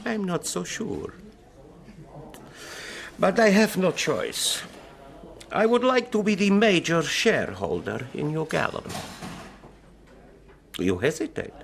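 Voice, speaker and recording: A man's voice: high voice, big pitch swings, slow pace, creaky, long pauses, full character voice. An actor playing a wary, stiffly formal European businessman in a radio drama. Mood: suspicious. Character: formal, suspicious European businessman seeking a secret deal.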